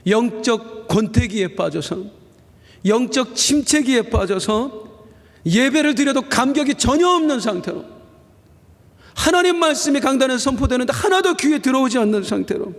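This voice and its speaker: A man with a high voice preaches a Sunday sermon from the pulpit of a church sanctuary. He draws phrases out slowly for weight, then lifts his voice on the points he most wants to land. A long reverb trails every line through the hall. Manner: earnest preaching, slowing down for emphasis and rising on key phrases. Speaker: senior pastor.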